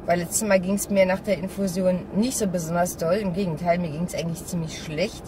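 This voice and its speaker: Woman chatting while driving, distracted n grumbling, super loud car noise, mic kinda far. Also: lowish voice, fairly even intonation. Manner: distracted, grumbling asides, halting. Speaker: vlogger. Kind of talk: casual vlog chat while driving. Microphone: phone or camera mounted on dashboard. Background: car and traffic noise, navigation voice.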